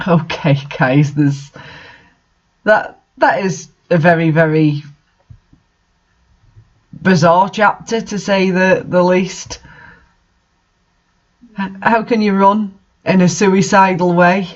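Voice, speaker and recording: A man's voice: sits high, steady tone, takes it slowly, pauses often, highs cut off. A man hosting a comic reading of bad fanfiction, commenting casually in a bemused, hesitant, mocking manner.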